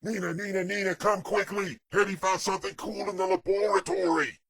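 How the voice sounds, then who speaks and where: A man's voice: high-pitched, animated, brisk, creaky, a crowd in the background, voice pushed up, full character voice. A man voicing a big werewolf with the mind of a child, who gushes breathlessly and repeats himself. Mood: excited.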